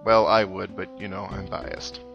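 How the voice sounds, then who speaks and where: A man's voice: low-pitched, level tone, measured pace, vocal fry, muffled recording. A young man giving casual, rambling video game commentary with reflective asides. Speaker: let's play host. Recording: voice-over mixed with game music. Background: video game music.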